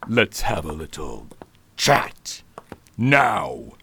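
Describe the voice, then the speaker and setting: A man playing a scheming villainous doctor, speaking in a silky, slow, quietly threatening way in a radio drama. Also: extremely low pitch, sing-song, gravelly, slight background noise, heavily acted. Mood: menacing. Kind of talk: amateur radio drama acting.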